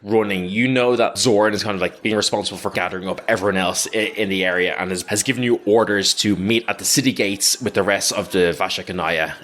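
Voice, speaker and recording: A man narrating a tabletop game as game master, improvising casually and haltingly with plenty of "like". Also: on the low side, a bit bright. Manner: halting, casual, many likes.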